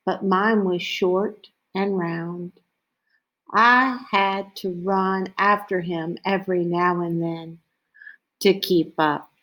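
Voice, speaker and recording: Woman, children's book read-aloud, very slow and deliberate delivery, mid-pitched. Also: rough recording. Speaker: reader of a children's picture book.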